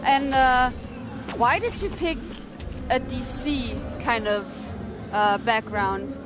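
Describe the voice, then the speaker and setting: A woman with a high voice conducts a casual interview. She chats informally as she asks her questions, with loud music playing behind her. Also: expressive, slow.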